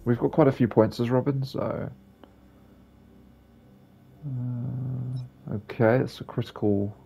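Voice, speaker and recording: A man with a gravelly, husky voice giving casual, rambling commentary as he plays a video game. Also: mid-range voice, fairly even intonation, slow, warm tone, highs cut off, slight background noise. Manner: rambling, offhand. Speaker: gaming video creator.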